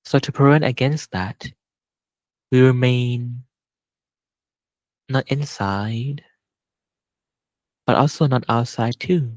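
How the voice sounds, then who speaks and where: Gentle guy doing guided meditation, super slow w long pauses, dry close sound. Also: medium pitch, fairly even intonation, no echo, poor audio. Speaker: meditation teacher.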